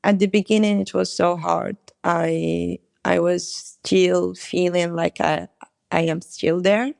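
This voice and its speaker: A young woman from Morocco speaks English haltingly and slowly, with heavy vocal fry running through her lowish voice. She stops often to find words. The recording is dry and close. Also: steady tone, darkish tone, no room sound. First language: not English. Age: 20s. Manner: hesitant, halting, searching for words. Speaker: interview guest. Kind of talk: conversational interview.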